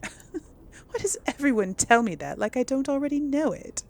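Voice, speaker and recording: A woman's voice: high-pitched, lively intonation, normal speed. A woman giving casual, chatty gameplay commentary as host, musing aloud and often trailing off. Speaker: gaming video host.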